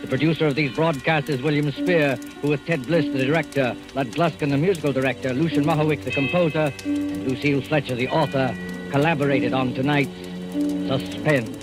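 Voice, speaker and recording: A man narrating in formal, dramatic 1940s radio announcer style, with measured phrasing, on an old broadcast recording. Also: medium pitch, steady tone. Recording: old radio broadcast, loud music behind.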